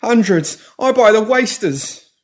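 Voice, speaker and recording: A seedy pimp played by a male narrator pleads in a high, strained voice pushed well up from normal speech. He babbles breathlessly and loudly, desperate and in pain, like a cornered crook in an audiobook thriller. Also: lively intonation, a little slow. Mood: desperate, babbling.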